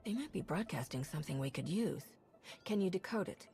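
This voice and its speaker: A woman's voice: fairly low pitch, expressive, a little fast, creaky, warm tone, soft background music. An actress playing an earnest, principled woman hero who speaks directly.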